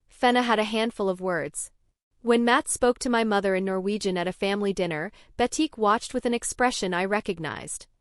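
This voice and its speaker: A young adult woman from Norway, narrating her own story in a measured, even scripted way. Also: mid-range voice, no room sound, studio quality.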